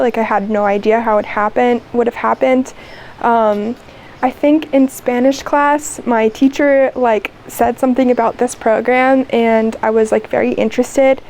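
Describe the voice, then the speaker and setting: Young american woman, kinda high and mellow, lots of ums and likes, faint hiss behind her. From United States. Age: 20s. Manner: hesitant, many ums and likes. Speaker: interview guest. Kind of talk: casual interview chat.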